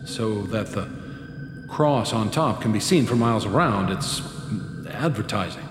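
Wry, low-voiced young reporter. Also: male voice, expressive, a little slow, a little hiss or hum. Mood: skeptical, wry. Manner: wry, politely probing. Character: skeptical, wry young male newspaper reporter. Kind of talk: radio drama acting. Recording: echoing hall with long reverb, music behind.

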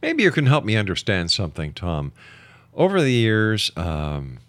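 A man's voice: on the low side, huge pitch swings, moderate pace, a bit bright, no echo. A man hosting an interview, putting probing questions in a skeptical, challenging manner. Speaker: interview host.

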